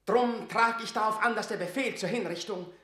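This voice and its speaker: An actor playing a stern, calculating, proud lord at court in a classical radio drama, declaiming forcefully. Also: male voice, high-pitched, normal speed, roomy sound. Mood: resolute. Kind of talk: classical German stage-verse radio drama.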